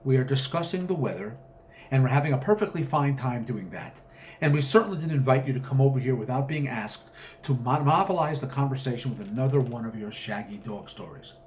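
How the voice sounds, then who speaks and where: Man playing curt, commanding club gentleman, noisy recording. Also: mid-range voice, lively intonation, brisk, close to an everyday voice. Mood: scornful. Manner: curt, exasperated, wordy politeness. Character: sharp, commanding, impatient university man at a club.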